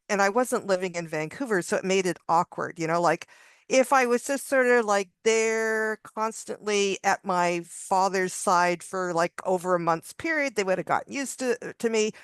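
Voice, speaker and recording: A woman's voice: medium pitch, fairly bright tone, clean tone, no room sound. A woman contributing author on a video panel, telling a story conversationally, with frequent restarts and small laughs. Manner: rambling with many restarts and laughs. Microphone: video call.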